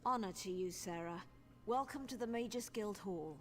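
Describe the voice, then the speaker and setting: A woman's voice: medium pitch, moderate pace, natural voice. Woman playing a gracious, formal guild mage. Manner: polite, formal. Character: gracious, formal female mages guild official greeting a newcomer. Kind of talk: fantasy video game voice acting.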